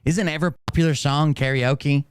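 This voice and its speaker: A man's voice: on the high side, fairly even intonation, a little fast, clear, resonant tone, dry recording. Nasal man teasing dryly. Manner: dry, teasing. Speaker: livestream host. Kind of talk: casual reaction commentary.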